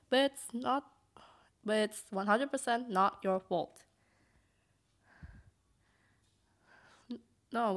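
A woman voicing gentle, caring, shyly romantic young characters in a comic dub, earnest and halting. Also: medium pitch, vocal fry. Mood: earnest, polite.

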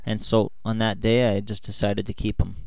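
A man giving a calm, explanatory voice-over. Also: lowish voice, normal speed, creaky, no room sound. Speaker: fishing show host. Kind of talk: voice-over narration.

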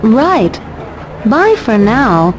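Slow, high-voiced young woman. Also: big pitch swings, over music, exaggerated voice, low-quality recording. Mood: helpful, unsure. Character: gentle, helpful young woman of the neighborhood. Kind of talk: English video game voice acting.

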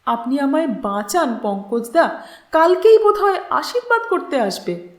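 A woman's voice: high voice, sing-song, unhurried, reverberant. A woman voicing a bitter, distressed young woman, emotional, scornful and pleading, in a story reading. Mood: tearful pleading.

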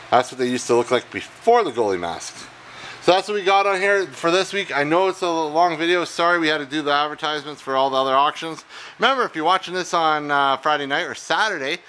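A man rambles excitedly through an auction walkthrough, his voice at an ordinary middle pitch. He talks from a warehouse on a camera mic, with a faint hum of the room behind him. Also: poor audio. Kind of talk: casual walkthrough of auction lots.

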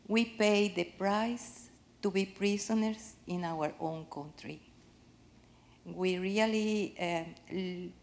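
A woman from Cuba with a Cuban Spanish accent, speaking earnestly from the audience in a theater, pausing to find her English words. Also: fairly low pitch. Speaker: audience member asking a question. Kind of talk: impassioned personal testimony. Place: large theater with live audience.

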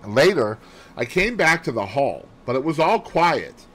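Man as audiobook housemaid, raised pitch, lively intonation, indignant, respectful, emphatic, plain-spoken. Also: unhurried, full character voice. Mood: earnest, respectful. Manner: emphatic, deferential. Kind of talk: audiobook character voice.

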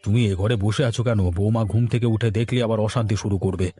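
A man playing a kindly older father, a calm and concerned family elder in a cartoon. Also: lowish voice, quick pace, natural voice. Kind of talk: Bengali family cartoon acting.

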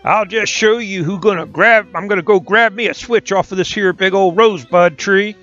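A man's voice: high voice, normal speed, faint music behind, voice pushed up. A man doing a blustering, boastful Satan. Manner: loud, threatening, folksy drawl. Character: blustering boastful male devil, Satan himself. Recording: dull lo-fi recording.

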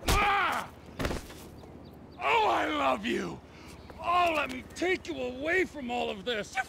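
A man's voice: very high voice, big pitch swings, takes it slowly, vocal fry, heavily acted. An actor playing a lovestruck, unhinged, desperate man in a video game cutscene, pleading and over-dramatic. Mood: manic, smitten. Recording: noisy game scene ambience.

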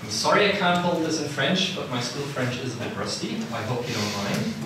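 A young man from the Italian-speaking part of Switzerland, with a Swiss accent, giving a relaxed, self-deprecating seminar talk full of ums and uhs, recorded in a lecture room. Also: medium pitch, a little fast. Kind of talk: technical lecture to a live audience. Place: lecture room with a projector screen. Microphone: far room camera, no visible mic. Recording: echoey room, distant mic, background noise.

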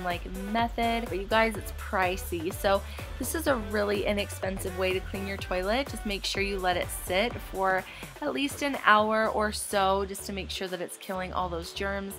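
A chatty woman in her thirties narrates a home cleaning video in a bubbly, friendly way. Her pitch sits in an ordinary middle range. Faint music plays softly under her voice. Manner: bubbly, chatty, with light laughs. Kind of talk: voice-over cleaning tutorial. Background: soft background music.

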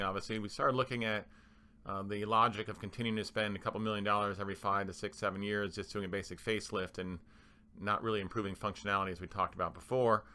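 Male manager for presentation voice-over: bright, forceful, smooth tone, explanatory and somewhat halting. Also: fairly low pitch. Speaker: club manager presenting renovation plans.

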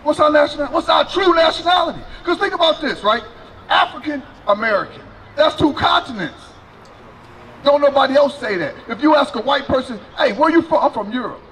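Loud high-voiced street preacher man. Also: lively intonation, brisk, slight background noise, poor audio. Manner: loud, preaching with a handheld mic, questioning passersby. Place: city sidewalk outdoors by a fence. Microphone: handheld mic.

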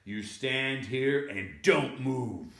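A man's voice: medium pitch, drawn-out words, creaky, a bit bright, roomy sound, heavily acted. A man voicing an exasperated but loving father in a children's story.

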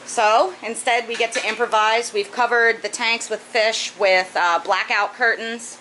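A woman's voice: fairly high pitch, steady tone, measured pace, pushed and bright, slight background noise, low-quality recording. A woman in her thirties casually explaining a project step by step in her basement fish room, with a few fillers. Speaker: aquarium hobbyist vlogger.

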